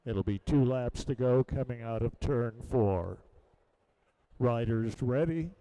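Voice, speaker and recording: A man's voice: medium pitch, takes it slowly, mellow, a little hiss or hum, rough recording. A man briefing riders on the rules of an elimination race. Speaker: race official. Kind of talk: giving race instructions.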